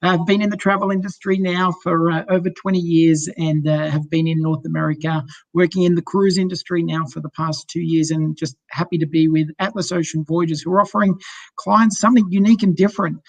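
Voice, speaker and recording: Gravelly middle-aged Australian man. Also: on the high side, flat delivery, a little fast, dry recording. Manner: friendly, chatty, many ums and uhs. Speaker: cruise sales director. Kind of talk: casual video-call presentation. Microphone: video call.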